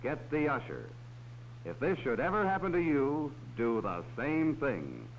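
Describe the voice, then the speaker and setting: Male narrator for a 1940s kids' safety film, lively and patient, dull hissy sound. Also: mid-range voice, measured pace. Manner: talks down to children. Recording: dull old film soundtrack with faint hiss.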